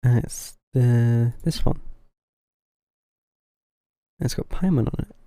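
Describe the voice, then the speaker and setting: A man giving low-key, casual gameplay commentary, thinking aloud as he streams. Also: slow, dark tone, no room sound. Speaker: game streamer. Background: game music.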